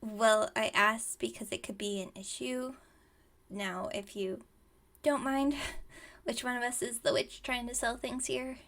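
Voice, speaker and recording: A woman's voice: fairly even intonation, measured pace, fairly bright tone. A woman playing a flustered, dramatic, scatterbrained witch shopkeeper in an ASMR roleplay, theatrical but chatty and rambling. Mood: chatty, mildly exasperated. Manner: theatrical sales pitch slipping into chatty rambling.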